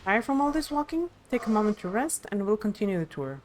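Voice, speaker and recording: A woman's voice: medium pitch, big pitch swings, quick pace, a little hiss or hum, close to an everyday voice. A woman voicing a proud, eager young princess who guides visitors in a video game, earnest and explanatory. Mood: friendly. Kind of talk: live video game dialogue reading.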